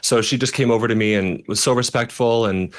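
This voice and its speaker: A man in his thirties with a deep voice, a makeup artist telling animated backstage stories in casual chat. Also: brisk. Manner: chatty, animated storyteller, mimics voices in quotes.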